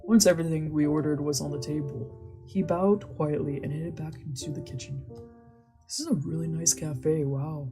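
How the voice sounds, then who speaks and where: A woman with a lowish voice reads visual novel narration aloud, breaking off for casual joking asides. Quiet music plays underneath. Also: fairly even intonation, normal speed.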